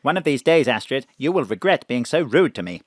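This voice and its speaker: A prim, courteous robotic car assistant in a radio drama. Its speech swoops up and down, and it sounds faintly reproachful. The recording is dry and close. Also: male voice, mid-range voice, very animated, a little fast, dry recording. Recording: dry home studio.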